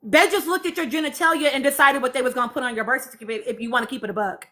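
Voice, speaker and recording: A woman with a high, bright and forceful voice hosts an informal live stream. She speaks with emphasis and conviction, repeating key phrases as she urges her listeners along. Her delivery is conversational and impassioned. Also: very fast. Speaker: live-stream host reading and answering audience comments.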